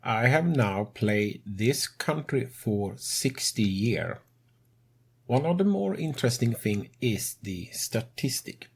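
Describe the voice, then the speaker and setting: A man speaking English as a second language, narrating a game tutorial as host in a measured way with non-native grammar. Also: medium pitch, lively intonation, breathy edge. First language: not English.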